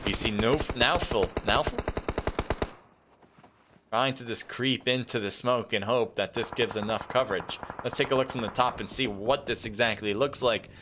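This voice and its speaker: A man doing live esports play-by-play in a mid-range voice, loose and chatty with plenty of "uh"s, his voice climbing sharply whenever a fight kicks off. Game gunfire and clicks run clearly underneath his commentary. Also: normal speed, noisy background. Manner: excitable, pitch leaps during fights, casual fillers. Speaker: esports caster.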